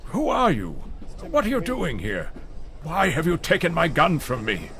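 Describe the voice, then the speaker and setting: A male actor as a pompous, frightened man in an audio drama, indignant and stammering. The voice sits fairly high and bright, with a creaky edge. He sounds condescending and rattled, over a faint rumble of train noise. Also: wildly swooping pitch, a little slow, heavily acted. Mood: startled, indignant.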